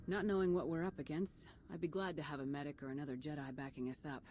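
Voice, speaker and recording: A woman playing a steady, practical military commander in a video game, direct and businesslike. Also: deep voice, fast, a little hiss or hum, close to an everyday voice.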